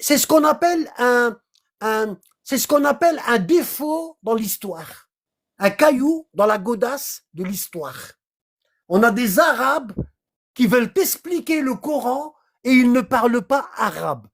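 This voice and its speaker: Animated livestreamer explaining scripture. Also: sits high, measured pace. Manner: animated, acts out little dialogues, keeps checking in with "hein?" and "d'accord?". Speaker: livestreamer explaining Quran verses. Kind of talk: casual livestream commentary.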